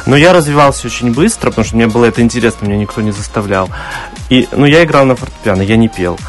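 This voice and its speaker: A man with a baritone voice answering interview questions in a thoughtful, explanatory, conversational way. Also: lively intonation, very fast, soft background music. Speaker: interview guest, classical singer.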